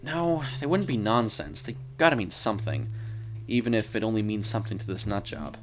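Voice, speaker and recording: A man's voice: fairly low pitch, expressive, brisk, close to an everyday voice. A man voicing a weary, questioning male federal agent in a narrated mystery story, earnest and a little grumbling.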